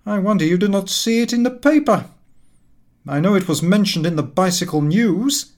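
Man doing a high, plaintive, self-pitying gentleman invalid. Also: expressive, moderate pace. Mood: aggrieved. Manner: plaintive, complaining. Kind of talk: audiobook character voice, comic Victorian novel.

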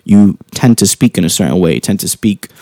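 Low, gravelly, soft-spoken man, calm and close. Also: no echo. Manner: calm, informal slang, halting rephrasing with frequent tag questions. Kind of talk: casual reflective monologue.

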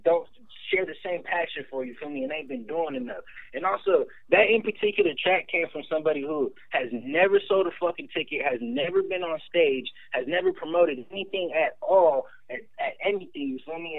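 A man guesting on the interview talks fast in a gravelly, lively voice that swings up and down, blunt and emphatic. The sound is thin and phone-like, with the room kept dry. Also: high-pitched, dry recording. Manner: blunt, emphatic, profane, animated. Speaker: rapper guest. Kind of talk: casual interview talk.

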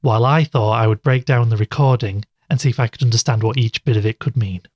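A man playing a podcast host who investigates mysteries, presenting in a measured, explanatory way in an audio drama. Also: mid-range voice, a little mellow, slightly rough, dry recording, lo-fi sound, natural voice. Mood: relaxed, conversational.

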